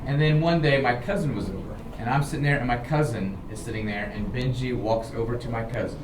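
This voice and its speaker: An American man tells informal personal stories in an animated, dramatic way, often checking in with his listeners. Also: medium pitch, fairly quick. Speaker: storyteller, show host. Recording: roomy, distant, clearly noisy recording.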